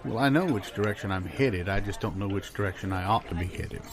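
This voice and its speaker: Low-voiced man in mock-earnest banter. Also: fast. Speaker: improv comedy performer. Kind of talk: improvised comedy banter.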